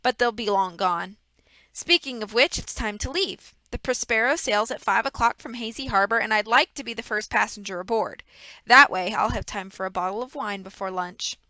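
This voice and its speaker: A woman's voice: mid-range voice, moderate pace, sharp tone, no room sound, natural voice, poor audio. A woman voicing a scheming, sneering grown man who taunts and threatens in a snarling, sarcastic way. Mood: smug. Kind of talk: audiobook character reading.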